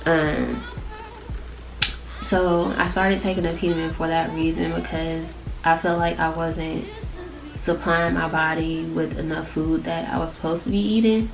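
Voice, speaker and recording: A young woman with a low, nasal voice, stuffed up from allergies, chatting to camera in a loose, rambling way. Music plays clearly behind her. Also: little pitch movement, measured pace. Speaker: vlogger. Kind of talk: casual chat to camera.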